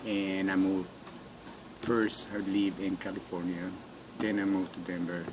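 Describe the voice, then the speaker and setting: A man's voice: low voice, flat delivery, measured pace. A man in his forties from Mexico with a Mexican Spanish accent, a Spanish speaker giving brief, plain answers with restarts in an oral history interview. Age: 40s. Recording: noisy recording with room hiss.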